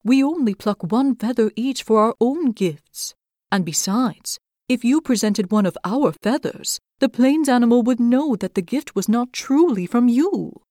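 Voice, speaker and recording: A gentle storybook owl speaks courteously in a lifted, warm-hearted voice, as a woman puts him on. He sounds polite and kind. The sound is dry and close. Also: on the high side, lively intonation, measured pace, dry recording, voice pushed up. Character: gentle, kindly male owl predator.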